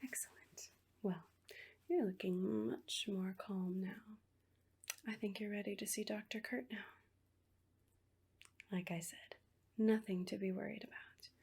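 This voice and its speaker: Woman playing a breathy, whispering sinister asylum nurse. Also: medium pitch, fairly even intonation, darkish tone. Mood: falsely reassuring. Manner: slow, coaxing, falsely reassuring. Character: sinister asylum nurse, soothing on the surface, quietly menacing. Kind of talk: whispered ASMR horror roleplay.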